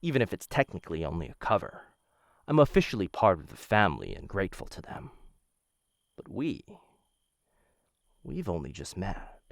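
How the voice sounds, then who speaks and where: Male actor as aristocratic killer and possessive suitor: deep, courtly, softly menacing, close and dry. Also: big pitch swings, creaky, no echo, exaggerated voice. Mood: smooth, coaxing. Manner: courtly, measured, softly menacing, addresses listener as my dear. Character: smooth, dangerous young noble assassin, possessive suitor. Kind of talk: boyfriend ASMR roleplay, intimate scripted acting.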